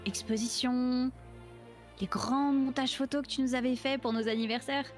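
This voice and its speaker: A woman playing a gentle, supportive friend in an improvised game roleplay scene, reflective and encouraging. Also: sits high, fairly even intonation, moderate pace, soft background music, lightly acted.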